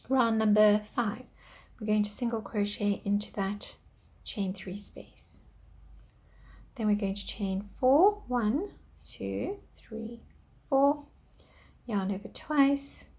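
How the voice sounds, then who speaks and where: Slow, soft-spoken woman teaching crochet. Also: fairly high pitch. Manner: counts stitches aloud, soft and patient. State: losing her voice, clearing throat. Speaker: crochet instructor. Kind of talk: step-by-step craft tutorial.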